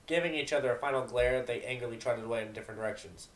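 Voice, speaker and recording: A man's voice: medium pitch, rapid-fire. A man reading narration for an amateur dramatic reading of a fan fiction story. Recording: roomy, echoing home recording.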